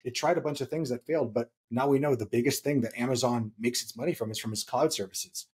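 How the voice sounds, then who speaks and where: A man in his thirties with a slightly husky voice, co-hosting a podcast and explaining points emphatically, repeating key phrases for stress. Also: mid-range voice, fast talker, darkish tone. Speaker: podcast co-host. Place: small home room, indoors.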